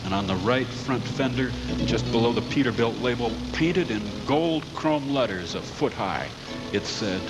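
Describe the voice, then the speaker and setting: A man narrating a scripted comic radio story in a deadpan, mock-dramatic storyteller manner. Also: medium pitch, normal speed, poor audio. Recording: loud music behind narration.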